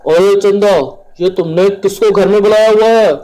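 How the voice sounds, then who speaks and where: A man voicing a stern, busy father in an animated story, speaking emphatically. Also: sits high, moderate pace, close to an everyday voice. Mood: angry. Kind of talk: Hindi animated moral storybook dialogue.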